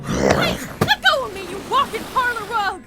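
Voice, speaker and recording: A woman's voice: very high-pitched, wildly swooping pitch, normal speed, sharp tone, soft background music, full character voice. A woman acting a haughty, defiant dance-hall performer in a fan comic dub, indignant and theatrical.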